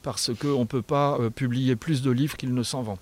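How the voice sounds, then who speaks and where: A man's voice: medium pitch, steady tone, normal speed, a little mellow. A man speaking as a guest author in a radio interview, answering in a reflective, hesitant way with trailing phrases. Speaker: guest author and small publisher.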